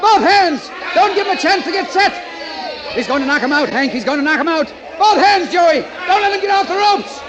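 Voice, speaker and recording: A man playing an excitable, gruff boxing manager barking urgent orders in a 1940s radio drama. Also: very high-pitched, fairly quick. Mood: shouting, excited. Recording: crowd noise behind, vintage radio.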